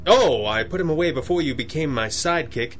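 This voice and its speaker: An actor playing a grouchy, commanding masked crime-fighter with dry, formal speech in a 1930s-style radio drama. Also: male voice, a little high, expressive, brisk, fairly bright tone, a little hiss or hum. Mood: grave, urgent.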